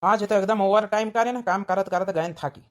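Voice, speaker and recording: A woman's voice: medium pitch, fairly even intonation, normal speed, close to an everyday voice. A woman voicing a tired, grumbling village husband with an Awadhi accent in a cartoon comedy. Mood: weary. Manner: grumbling, berating.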